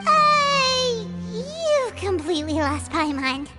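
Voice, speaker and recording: A woman voicing a tiny, childlike fairy companion in a video game, cheeky, blunt and excitable. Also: slow pace, loud music behind the voice, heavily acted. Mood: puzzled. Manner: squeaky, blunt, refers to herself in third person. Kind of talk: scripted video game dialogue.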